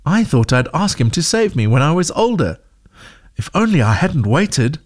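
A man's voice: a little high, big pitch swings, normal speed, voice pushed up. A man voicing a frightened young schoolgirl in a storybook reading, his voice lifted and pleading.

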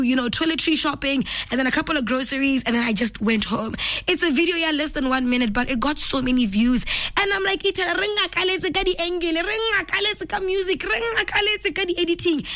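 A woman radio host, hoarse and raspy from flu, chatting in a bubbly, motivational way. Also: high voice, fairly bright tone. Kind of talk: casual radio chat.

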